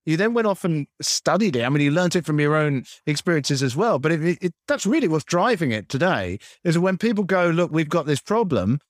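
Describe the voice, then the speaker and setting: A man's voice: on the high side, very animated, a little fast, hardly any pauses, no room sound, clean studio sound. A man interviewing conversationally as a host, probing and rephrasing what he hears.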